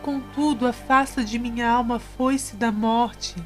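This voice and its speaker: A Brazilian woman playing the tormented spirit of a dying young woman in an audio drama, pleading slowly and in anguish. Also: a little high, steady tone, lightly acted. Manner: slow, pleading, anguished delivery. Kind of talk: Portuguese-language audio drama acting. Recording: voice over prominent background music. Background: music.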